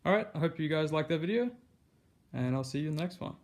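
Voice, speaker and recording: A man's voice: fairly high pitch, expressive, quick pace. A man hosting a casual hands-on demonstration vlog, speaking from behind a handheld camera in a room at home. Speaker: vlog host. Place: carpeted room at home, indoors. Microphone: handheld camera or phone mic, speaker behind the camera.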